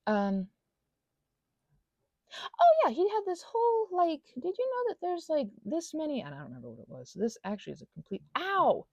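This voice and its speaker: A woman's voice: high voice, huge pitch swings, darkish tone, no room sound, highs cut off, pitched above the performer's own voice, full character voice. Woman voicing an anxious little goblin girl, swooping and rambling. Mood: distracted, hesitant, then flustered, apologetic. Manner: rambling, halting, self-interrupting, self-soothing asides. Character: anxious, self-deprecating little female goblin, rambling artist. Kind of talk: intimate ASMR audio roleplay monologue.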